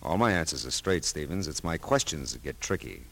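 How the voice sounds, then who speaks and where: An actor playing a wry, world-weary private detective who narrates and talks in a deadpan radio drama style. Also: male voice, rumbling low, a little fast, exaggerated voice. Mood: dry, probing. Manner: deadpan, hesitant asides, dry wit.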